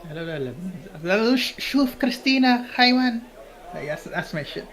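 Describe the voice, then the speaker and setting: A man's voice: sits high, very animated, moderate pace, fairly bright tone, rough recording. A young man co-hosting a podcast who throws in blunt, teasing quips. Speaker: podcast co-host. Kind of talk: casual chat.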